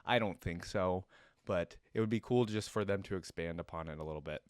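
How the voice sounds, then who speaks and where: A man's voice: deep voice, a little fast, smooth, dry recording, studio quality. A man giving casual reaction commentary as the host of a video.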